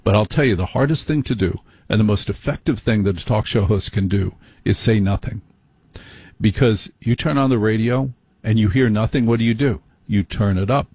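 A man's voice: on the low side, normal speed, a little mellow, husky, no room sound. A man hosting a late-night call-in radio show, talking in a wry, teasing, joking way.

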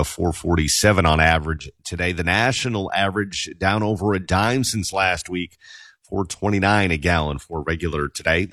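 A man's voice: fairly low pitch, fairly bright tone, no echo, studio quality. A man reading a scripted local news bulletin on the radio.

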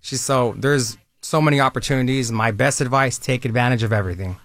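A man's voice: medium pitch, a little fast, fairly bright tone, dry recording. Upbeat recruiter man, dry studio sound. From Southern California. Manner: upbeat, persuasive, conversational. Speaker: military recruiter guest. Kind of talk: radio interview.

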